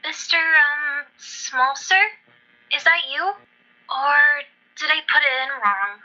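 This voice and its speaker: A woman's voice: high-pitched, animated, slow pace, pushed and bright, full character voice. A woman playing a naive, chatty, anxious little girl in an amateur radio play. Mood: nervous, naive. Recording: dull lo-fi audio.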